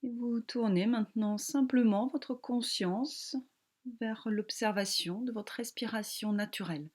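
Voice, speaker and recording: A woman yoga teacher guiding a relaxation meditation in a calm, gentle, soothing manner with long pauses. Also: fairly high pitch.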